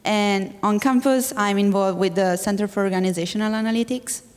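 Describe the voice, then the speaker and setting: Halting young woman from Italy. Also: mid-range voice, fairly even intonation, clear, resonant tone. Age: 20s. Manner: halting, searching for words. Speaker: student panelist. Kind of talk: panel discussion. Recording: long hall reverb.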